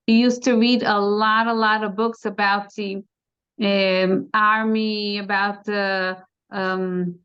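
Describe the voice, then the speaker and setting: A middle-aged woman with an American accent, a guest in an interview, speaking haltingly with many fillers and restarts. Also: medium pitch, little pitch movement, fairly bright tone, clean tone. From United States. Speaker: interview guest, bereaved mother. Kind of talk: casual conversation. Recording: dull lo-fi sound.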